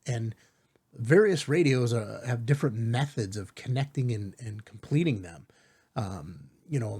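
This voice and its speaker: A man hosting a step-by-step software tutorial in an informal, reassuring way, often checking in with rhetorical asides. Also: mid-range voice, breathy edge. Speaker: tutorial host.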